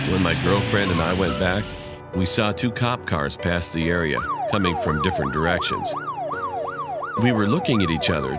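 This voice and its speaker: A man reading a scripted horror story as its narrator. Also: low voice. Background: music.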